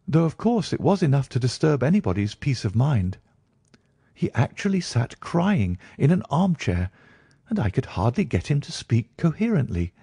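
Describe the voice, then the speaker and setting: Man playing an earnest, formal doctor, slightly raised pitch. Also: warm tone, husky, dry recording, studio quality. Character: earnest, proper Victorian male doctor, troubled and polite. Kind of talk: audiobook character acting.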